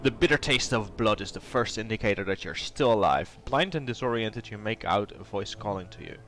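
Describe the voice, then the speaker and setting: A man's voice: fairly low pitch, expressive, a little fast, a bit bright, soft background music. A man with a cold and a nasal voice, hosting a casual gameplay commentary and thinking aloud with plenty of hesitation. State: has a cold. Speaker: let's play host.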